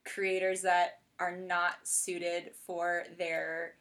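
A woman hosting a casual podcast chat in a bubbly way, quick to laugh. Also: on the low side, flat delivery, fairly bright tone. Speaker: podcast host.

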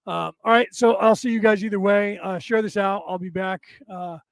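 A man hosting a long spiritual talk show, his voice hoarse and raspy, rambling and emphatic as he talks straight to his listeners. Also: sits high, steady tone, normal speed, dry recording, clean studio sound. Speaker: show host. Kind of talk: late-night spiritual talk show monologue.

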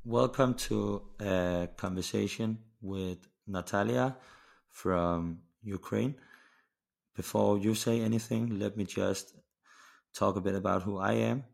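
A man in his twenties with a Danish accent, hosting a casual conversational interview in a hesitant, halting way. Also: lowish voice, gravelly. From Denmark. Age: 20s. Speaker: podcast host.

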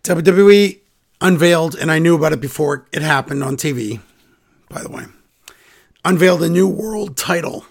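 A man hosting a casual wrestling podcast, hoarse and raspy from laryngitis, his voice sometimes dropping to a whisper. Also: on the high side, moderate pace. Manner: slips into whispers. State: hoarse from laryngitis, voice coming back. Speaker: podcast host.

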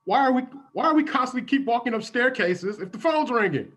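A performer improvising a comedy scene with a husky voice. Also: mid-range voice, animated, fairly quick, fairly bright tone.

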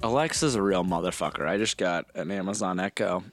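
A man's voice: low-pitched, fairly quick, dry recording, faint music behind. Wry, profane man in dry close chat. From Phoenix, Arizona. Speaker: podcast co-host. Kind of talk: casual chat.

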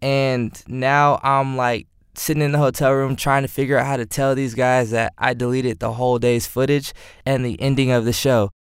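A man's voice: medium pitch, normal speed, smooth, dry recording, studio quality. A cinematographer telling a story about his own mishap on set, casually and with self-deprecating humor. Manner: animated, self-deprecating, acts out quotes. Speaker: cinematographer guest.

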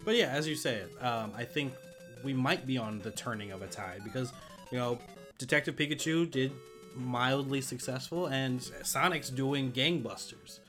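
A man's voice: medium pitch, normal speed, fairly bright tone, soft background music. A man co-hosting a pop culture podcast in an informal, agreeable, chatty way. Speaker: podcast co-host.

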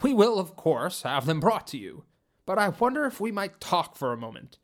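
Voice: mid-range voice, sing-song, normal speed, voice pushed up, lightly acted. A narrator voicing a nervous, ingratiating male official in an audiobook. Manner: ingratiating, chatty. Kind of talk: audiobook character voice.

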